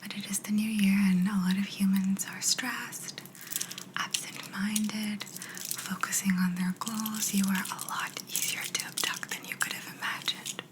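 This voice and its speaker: A polite alien examiner played by a woman whispers softly and very slowly in an ASMR spaceship roleplay. The delivery is gentle and clinical, with a faintly bemused curiosity about humans. The breathy whisper sits at an ordinary female pitch. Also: little pitch movement, close to an everyday voice, rough recording. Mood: soothing, clinical.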